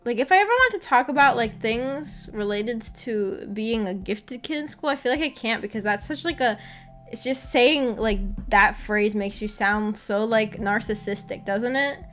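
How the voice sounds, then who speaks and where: A woman's voice: a little high, moderate pace, fairly bright tone. A woman chatting casually as a livestream host, rambling in a giggly, self-deprecating way. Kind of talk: casual chat. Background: music.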